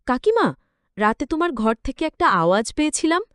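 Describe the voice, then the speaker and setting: A woman's voice: high-pitched, sing-song, fairly quick, smooth, no echo, clean studio sound. A woman narrator voicing a respectful young male college student, a concerned nephew in an emotional story. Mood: concerned, curious.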